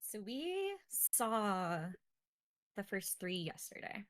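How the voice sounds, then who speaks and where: A woman's voice: medium pitch, sing-song, slow, dry recording, clean studio sound. A woman streaming a co-op cooking game, narrating her own moves aloud in a playful, casual way. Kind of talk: casual gaming chat.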